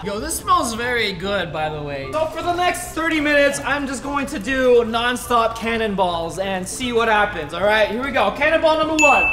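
Playful young man, lively pitch. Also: moderate pace, hardly any pauses. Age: young adult. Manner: playful, giggly, jokey, talks to viewers. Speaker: YouTube host. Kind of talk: goofy challenge vlog. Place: indoor studio set with hot tub.

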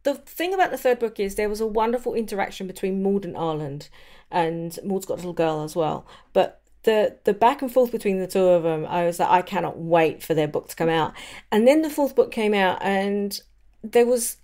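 Woman, mid-pitched voice, chatty, enthusiastic, casual book discussion. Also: animated, a little fast, warm tone. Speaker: podcast host.

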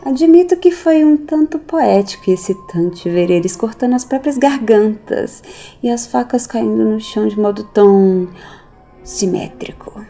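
A mellow, creaky voice, acted by a woman for an unhinged, sardonic woman in an audio drama. She muses theatrically and nihilistically, with faint music behind her. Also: a little high, expressive, unhurried. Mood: mocking, unhinged. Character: unhinged woman recounting her cultish family's cruelty, mocking, nihilistic.

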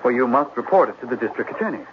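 An actor playing a prim, naive, upright brother in a 1970s radio drama, with fussy, old-fashioned diction. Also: male voice, medium pitch, animated, very fast, natural voice. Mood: righteous. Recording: muffled, noisy vintage radio broadcast.

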